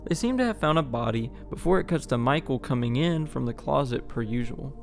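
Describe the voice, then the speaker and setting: A man's voice: medium pitch, animated, brisk, gravelly, faint music behind. A man hosting a video essay, giving a scripted explainer narration.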